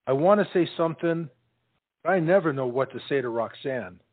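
A man's voice: a little high, animated, narrow, tinny audio. Man playing a bashful, stammering young soldier. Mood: flustered, bashful. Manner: halting, stammering, earnest. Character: bashful, slow-witted lovestruck young soldier. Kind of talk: stage comedy acting.

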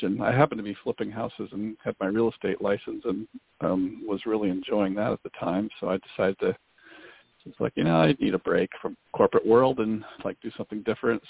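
Relaxed low-voiced man on a phone line. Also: creaky, husky, no echo. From US Pacific Northwest. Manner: relaxed, casual, with many "um" and "uh" fillers. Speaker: guest, business broker. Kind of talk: interview answers. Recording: dry phone-line audio.

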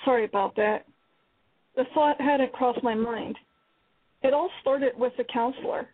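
Woman playing a young patient, high voice, husky, confiding, phone-line sound. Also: measured pace. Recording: tinny phone-line radio audio.

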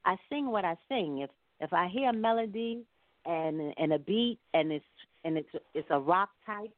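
A woman with a fairly low voice speaks casually as a guest in an interview. Her manner is encouraging and emphatic, with colloquial phrasing. The sound is narrow and phone-like, close and dry with little room in it. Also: dry recording. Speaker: singer being interviewed. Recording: muffled phone-like line.

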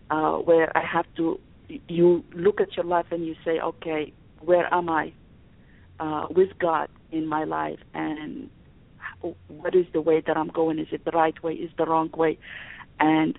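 Gravelly woman over a phone line. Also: low voice, no room sound. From Sudan. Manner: reflective, frequent restarts and fillers. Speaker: podcast guest, university instructor. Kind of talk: conversational interview. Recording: tinny phone-like line, dry.

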